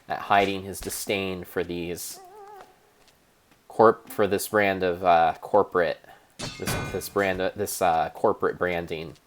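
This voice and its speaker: Man, deadpan dramatic reader, very low and slow, self-correcting. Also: a little hiss or hum. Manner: deadpan storyteller, halting restarts and self-corrections. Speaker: streamer narrating a game. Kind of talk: mock-dramatic improvised narration.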